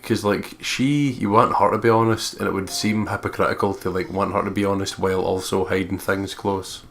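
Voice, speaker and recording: A man reads game narration and comments casually. His voice is very low, with a gravelly fry and a flat pitch range. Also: a little fast. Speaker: let's play co-host. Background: game music.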